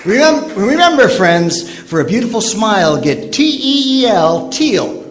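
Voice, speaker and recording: A man's voice: high voice, very animated, unhurried, noisy background. A man announcing and narrating in scripted old-time radio style. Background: music.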